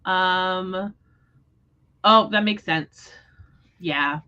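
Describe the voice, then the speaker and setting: Low-pitched woman in casual book chat. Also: drawn-out words, sharp tone, dull audio. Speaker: host of a book readalong livestream. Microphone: livestream microphone.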